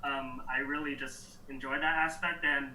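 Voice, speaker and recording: A young man from New York answering interview questions in a relaxed, halting way, with soft laughs between thoughts. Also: medium pitch, slight background noise. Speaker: interview guest. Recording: echoey room, mic at a distance.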